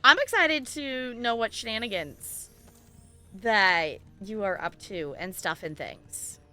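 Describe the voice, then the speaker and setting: A woman's voice: medium pitch, animated, takes it slowly, sharp tone, soft background music. A woman hosting a game livestream, chatting casually and thinking aloud in a rambling way as she plays. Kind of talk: casual chat while playing an online game.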